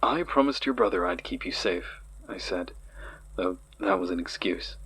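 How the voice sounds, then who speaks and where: An actor playing a haunted man recalling childhood horrors in a horror audio drama, with a husky voice. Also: deep voice, lively intonation, moderate pace, a bit bright, a little hiss or hum, poor audio. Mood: haunted, weary. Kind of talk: dramatic first-person horror narration.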